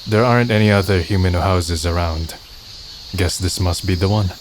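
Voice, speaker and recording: A man voicing a weary, cynical young man in a manga dub, with a reflective, low-key and dryly blunt delivery. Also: normal speed, noisy background, natural voice. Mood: low-key, casual. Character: weary, cynical young man narrating his final days. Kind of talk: fan manga dub, first-person narration and dialogue.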